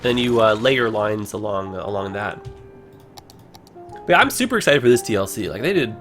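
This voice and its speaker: A man casually commenting on a game he plays on a livestream, rambling with many fillers, his voice hoarse as he is losing it. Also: lowish voice, expressive, a little fast, fairly bright tone, soft background music.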